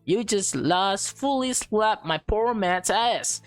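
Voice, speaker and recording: A woman voicing a cheeky, excitable teenage prankster from a visual novel in a teasing, exclamatory way. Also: medium pitch, slow pace, dry recording, faint music behind, pitched above the performer's own voice.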